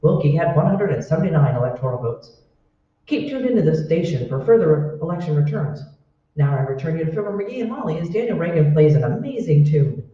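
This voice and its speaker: Man as formal vintage radio news announcer, urgent, swinging intonation, heard from afar in a booming hall. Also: big pitch swings, a little fast, a little hiss or hum. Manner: declamatory, formal. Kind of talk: 1940s radio newsreading. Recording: echoey hall, distant mic, dull sound.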